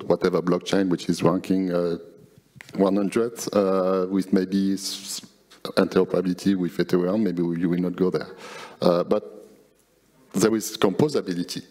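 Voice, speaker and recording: A man speaking as an academic on a conference panel, halting and hesitant with many fillers, into a handheld mic. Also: low-pitched, level tone, darkish tone. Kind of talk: panel discussion. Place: conference stage.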